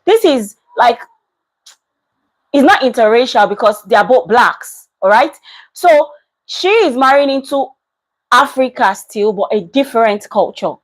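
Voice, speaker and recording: A woman talks through a casual reaction commentary, leaning hard on her points and going back to them. Her voice sits on the higher side. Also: unhurried, low-quality recording. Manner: animated, emphatic, repeats herself. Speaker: commentary vlogger.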